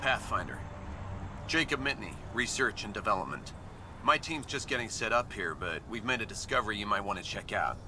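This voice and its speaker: An actor playing a friendly research scientist at an outpost in a video game, with background noise. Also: male voice, fairly low pitch, animated, fairly quick, natural voice. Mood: inviting. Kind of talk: video game dialogue acting.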